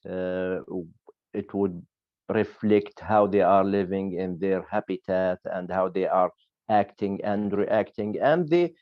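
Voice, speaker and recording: A man speaking hesitantly on an online panel as a photographer, with many filled pauses. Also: sits low, no echo. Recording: dry, dull, lo-fi sound.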